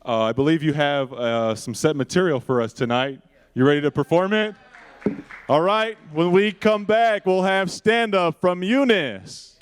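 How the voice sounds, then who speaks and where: A young man hosting a casual late-night student talk show, asking questions in relaxed, slangy phrasing on a handheld stage microphone. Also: on the high side, measured pace, clear, resonant tone. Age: young adult. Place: indoor event stage.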